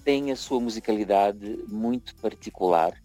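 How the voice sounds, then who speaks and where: Low, mellow-voiced Brazilian man. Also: normal speed, no room sound, soft background music. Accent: Brazilian Portuguese, partly picked up in Portugal. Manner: hesitant, many fillers and restarts. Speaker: interview guest, association leader and fado singer. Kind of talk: broadcast interview conversation.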